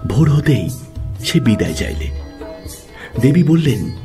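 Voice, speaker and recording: A man narrating a literary audio story in a dramatic, expressive style. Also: medium pitch, measured pace. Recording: music under the voice.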